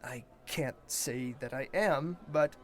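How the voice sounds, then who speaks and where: Man acting a slow-spoken, reserved young prince. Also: medium pitch, soft background music, natural voice. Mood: smitten, hushed. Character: composed young prince, reserved, smitten and earnest. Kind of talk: audio drama acting.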